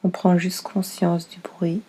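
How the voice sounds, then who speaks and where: A woman leading a guided yoga nidra relaxation as instructor, soothing and unhurried, speaking in short phrases with pauses. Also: lowish voice, little pitch movement, mellow, slightly rough.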